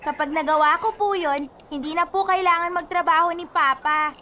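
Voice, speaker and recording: Very high, innocent Filipino schoolgirl. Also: moderate pace, pushed and bright, a little hiss or hum. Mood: earnest. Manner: polite, innocent, questioning. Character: cheerful, dutiful young schoolgirl granddaughter. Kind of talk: radio drama acting.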